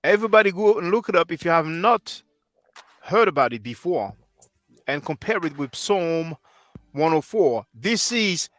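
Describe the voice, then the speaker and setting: Bright-voiced French-native man lecturing on stream. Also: a little high, sing-song, normal speed, no room sound. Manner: earnest, insistent lecturing. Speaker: livestream host. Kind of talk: livestream commentary answering chat. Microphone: headset mic.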